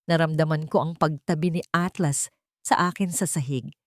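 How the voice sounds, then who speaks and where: A woman with a fairly low voice narrates an emotional love story in the first person. Her delivery is dramatic and drawn out, recorded close and dry. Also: fairly even intonation, normal speed, dry recording.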